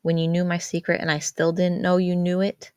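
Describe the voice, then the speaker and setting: A woman's voice: on the low side, completely flat delivery, brisk, clear, resonant tone, no room sound, close to an everyday voice. Woman voicing a sheepish, casual teenage boy. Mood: confident, casual. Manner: hesitant, casual, defensive. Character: sheepish, evasive teenage boy, younger brother with a secret.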